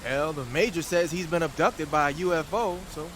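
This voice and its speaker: A man's voice: a little high, normal speed, fairly bright tone, a little hiss or hum, close to an everyday voice. An actor playing a chatty, blunt support man in a video game, talking informally and with exasperation to a field agent. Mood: bemused.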